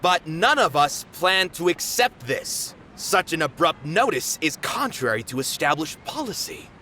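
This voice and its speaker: A male actor playing an earnest, protective theater manager in a game, plain-spoken and expressive. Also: a little high, a little slow, slight background noise, heavily acted. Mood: protesting. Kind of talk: English game dub voice acting.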